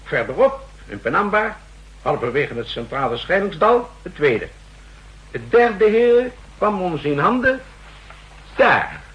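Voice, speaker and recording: An actor playing a self-assured official who presents evidence in deliberate, declamatory Netherlands Dutch in a 1960s radio drama. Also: male voice, high-pitched, very animated, dull audio, exaggerated voice. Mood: assured, insistent.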